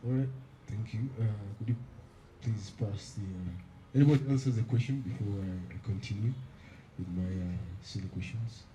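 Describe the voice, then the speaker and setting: A man's voice: lowish voice, normal speed, warm tone, echoey room, slight background noise, low-quality recording. A man moderating an audience question session, courteous and a little hesitant.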